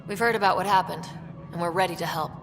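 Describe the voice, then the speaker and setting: A woman's voice: lowish voice, quick pace, lightly acted. An actress playing an earnest young woman who leads explorers in a video game. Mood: resolute. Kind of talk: video game cutscene acting. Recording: reverberant hall with music behind.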